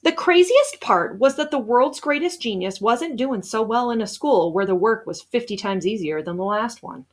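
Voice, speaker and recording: Animated woman reading a story aloud. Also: fairly high pitch, moderate pace, hardly any pauses. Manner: animated, acts out dialogue. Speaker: read-aloud narrator.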